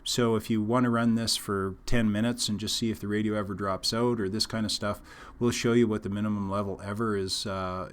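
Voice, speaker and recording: A man explaining a technical system as a trainer, in an unscripted, halting instructional style. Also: fairly low pitch.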